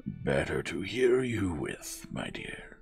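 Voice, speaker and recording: A man voicing a gruff wolf disguised as a grandmother, drawling slowly and slyly. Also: sits low, sing-song, a little mellow, soft background music, pitched above the performer's own voice, full character voice.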